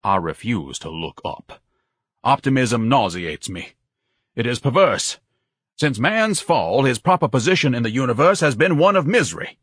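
Man playing a pompous, husky, indignant grown son. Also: medium pitch, big pitch swings, normal speed, a bit bright, no echo, clean studio sound, pitched above the performer's own voice. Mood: gloomy, stubborn. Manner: pompous, over-articulate, haughty declaiming. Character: pompous, indignant, self-pitying grown son, grandiose and melodramatic. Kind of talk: audiobook character voice.

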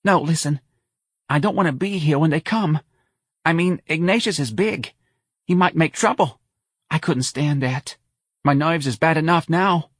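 A man's voice: a little high, normal speed, long pauses, breathy edge, dry recording, clean studio sound, pitched above the performer's own voice. A man voicing a timid, fretful older mother with a New Orleans accent, plaintive and hesitant, in an audiobook story. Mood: nervous, hesitant.

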